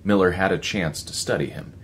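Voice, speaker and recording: A man in his fifties reading a story as a voice actor, in a measured, expressive, dramatic narration. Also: low-pitched, a little hiss or hum. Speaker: voice actor, audiobook reader. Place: living room, indoors.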